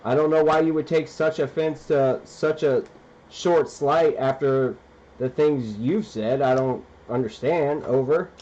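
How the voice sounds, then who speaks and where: A man's voice: medium pitch, unhurried, a little mellow, slight background noise. An American man chatting casually over amateur radio in an informal, somewhat hesitant way. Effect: radio transmission. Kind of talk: casual two-way ham radio conversation. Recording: dull, band-limited ham radio transmission.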